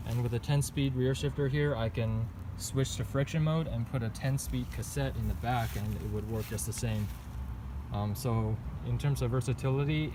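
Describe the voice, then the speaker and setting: A man giving a casual, unscripted walkthrough of his gear in a halting, hesitant way. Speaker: vlogger reviewing own bike setup. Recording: noisy outdoor recording with traffic rumble.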